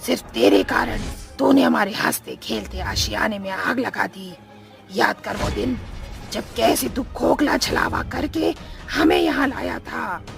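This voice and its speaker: A woman voicing a vengeful young witch ghost in a horror story, theatrical and taunting with creaky, drawn-out threats. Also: a little high, huge pitch swings, exaggerated voice. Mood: threatening. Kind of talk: Hindi animated horror storytelling. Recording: music behind.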